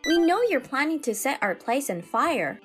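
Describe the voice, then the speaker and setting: A woman's voice: sits high, very animated, moderate pace, soft background music. A woman playing an earnest, wary young bride-to-be who speaks firmly and accusingly in a dramatized manga story.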